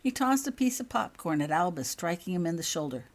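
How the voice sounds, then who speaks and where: Low-pitched, very animated woman storyteller. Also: a little fast. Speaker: author reading own story. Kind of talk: expressive storybook narration.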